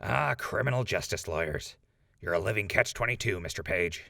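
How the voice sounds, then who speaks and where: A man voicing a sardonic, wisecracking ghoul outlaw with a raspy, gravelly voice in an audio drama. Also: low-pitched, lively intonation, normal speed, bright, forceful tone, no echo, clean studio sound, heavily acted. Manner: sardonic, joking.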